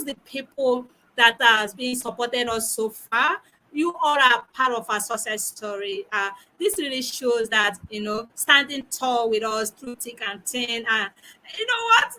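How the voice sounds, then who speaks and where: A woman with a squeaky voice, hosting an online talk show in an effusive manner, repeating her phrases. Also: bright, forceful tone, rough recording. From Nigeria. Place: online video call.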